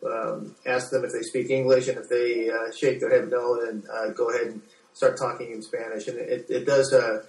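An American man gives informal interview answers in a medium-pitched voice. He is hesitant and often doubles back on his words. He sounds set back from the microphone in a clearly echoey room. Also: little pitch movement, distant mic. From United States. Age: 40s. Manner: halting, hesitant, repeats words. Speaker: doctor being interviewed.

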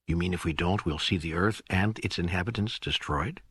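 Husky, lowish man reading questioningly. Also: fairly quick, fairly bright tone, dry recording. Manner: questioning, conversational. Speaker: audiobook narrator. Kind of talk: scripted audiobook dialogue reading.

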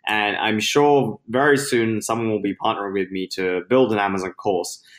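A young British man from London, his voice sitting on the low side. He talks in an upbeat, chatty way as a podcast guest and laughs lightly now and then. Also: steady tone, moderate pace, a bit bright. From London, England. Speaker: podcast guest, Amazon seller. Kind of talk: casual interview chat.

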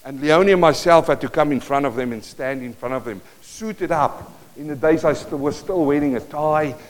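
Afrikaans-accented pastor telling a story. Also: male voice, a little high, moderate pace. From South Africa. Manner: storytelling, animated bursts, moves around the stage. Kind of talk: sermon. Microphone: worn or handheld mic that cuts in and out while he moves.

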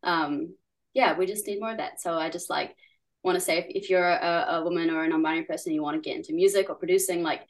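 A woman's voice: lowish voice, quick pace. A young woman from New Zealand, a musician guest chatting casually in an interview, full of "like" fillers and giggles.

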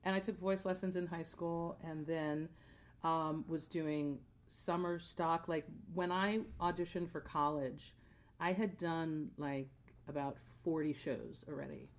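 A woman's voice: deep voice, steady tone, unhurried. An American woman, a theater singer and podcast guest, chatting casually and acting out bits of dialogue as she tells her story. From born in Jamaica, grew up in New Jersey.

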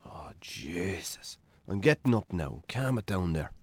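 A man's voice: low-pitched, sing-song, a little slow, husky, no echo, heavily acted. A man playing a put-upon, hungover Irish farmer in a radio drama, weary, dry and sardonic. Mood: exasperated. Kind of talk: naturalistic radio drama acting.

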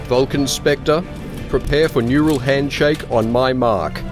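Audio drama marshal, male actor: stern, authoritative, deliberate, medium-pitched military commander with clear music underneath. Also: natural voice.

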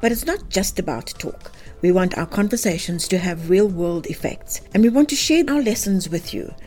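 A woman's voice: fairly low pitch, lively intonation, normal speed, a little mellow, faint music behind. A woman hosting a podcast, reading a scripted introduction and then asking conversational questions. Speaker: podcast host. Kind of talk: podcast interviewing.

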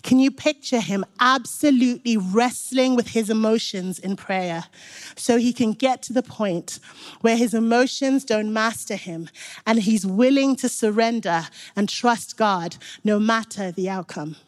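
A woman's voice: fairly high pitch, measured pace. A young woman from Zimbabwe giving an earnest, encouraging sermon, speaking directly to the congregation. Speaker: preacher.

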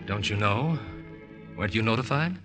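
An actor in a 1940s radio drama playing a sombre family doctor. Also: male voice, fairly low pitch, lively intonation, normal speed, lightly acted. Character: sombre family doctor breaking sad news. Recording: vintage radio recording, loud music behind.

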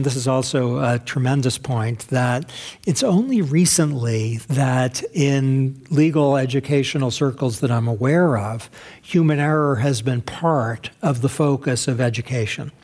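A man's voice: mid-range voice, a little mellow. A man from the United States answering audience questions at a lecture, thoughtful and hesitant, with many fillers and restarts and frequent rhetorical questions. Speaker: academic speaker responding to questions.

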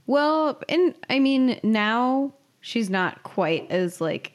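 A woman's voice: a little high, sing-song, drawn-out words. A woman podcast co-host chatting casually in a conversational, hedging way. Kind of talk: casual chat.

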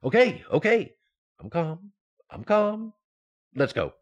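An actor playing a naive, excitable expectant husband in an old-time radio sitcom, earnest and flustered. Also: male voice, high voice, slow, muffled recording, exaggerated voice.

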